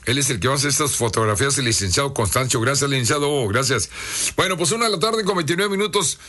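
A hoarse man, hosting a radio show and reading listener greetings in a chummy, casual way. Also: mid-range voice, lively intonation, quick pace, bright, forceful tone. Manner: chummy, reads listener messages aloud. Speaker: radio host. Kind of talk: casual radio shout-outs.

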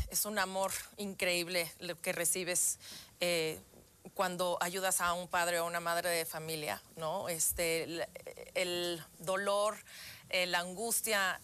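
A woman, a singer and guest, speaking heartfelt and pausing to search for words on a live telethon. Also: mid-range voice, sharp tone.

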